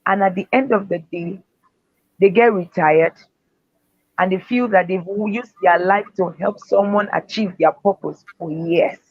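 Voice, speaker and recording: A woman's voice: medium pitch, a little mellow, no room sound. A woman speaker and author giving earnest, faith-driven motivational answers in an interview. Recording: dull, dry lo-fi sound.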